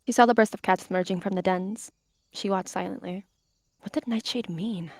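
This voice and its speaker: A woman's voice: medium pitch, steady tone, normal speed, a little mellow, slight background noise. A woman narrating an animal roleplay story in the third person with dramatic delivery.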